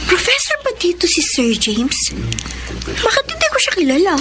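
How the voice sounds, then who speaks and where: A woman's voice: very high-pitched, huge pitch swings, moderate pace, heavily acted. A woman with a Filipino accent playing a bashful young college student torn by love, in radio romance acting. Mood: intrigued. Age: young adult. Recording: music bed behind voice.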